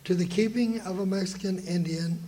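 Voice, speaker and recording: High-pitched, declamatory actor as a stern lawyer. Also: male voice, warm tone, close to an everyday voice. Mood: stern, formal. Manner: deliberate, declamatory. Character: stern, prejudiced 1900s lawyer reading a formal petition. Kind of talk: formal radio drama oratory. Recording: faint noise, live performance.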